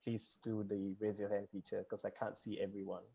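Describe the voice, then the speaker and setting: A man's voice: fairly low pitch, flat delivery, a little fast, gravelly, warm tone, slightly rough. A man hosting an online townhall for students, chatty and rambling, laughing often and using lots of fillers. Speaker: host. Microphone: video call. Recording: muffled video call audio.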